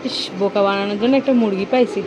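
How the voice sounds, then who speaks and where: A woman chatting casually and excitedly with friends in a playful, teasing way. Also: medium pitch, fast, over music, low-quality recording.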